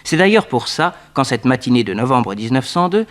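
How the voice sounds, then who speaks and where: An actor playing a detective's nephew who narrates a crime case in a scripted French radio drama. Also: male voice, medium pitch, very animated, a little fast, a bit bright. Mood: grave, measured. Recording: slightly noisy old radio recording.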